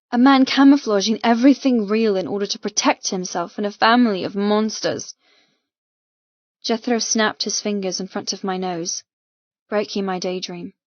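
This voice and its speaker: Female audiobook narrator, medium pitch, emotive dramatic reading, very dry, slightly muffled sound. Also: no echo. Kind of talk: scripted first-person fiction narration. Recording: dull, muffled, dry recording.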